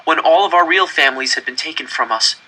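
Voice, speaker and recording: A man's voice: a little high, quick pace, sharp tone, low-quality recording. A man reading a first-person story aloud dramatically, with an earnest, intense and emphatic delivery. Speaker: audiobook reader.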